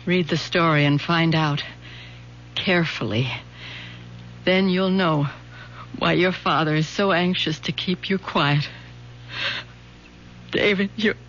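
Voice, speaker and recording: A woman's voice: fairly low pitch, fairly even intonation, fairly bright tone. Anguished, insistent mother. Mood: bitter, insistent. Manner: halting, earnest. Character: anguished, insistent mother, fragile but determined. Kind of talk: old radio drama acting. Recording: muffled, hissy old radio recording.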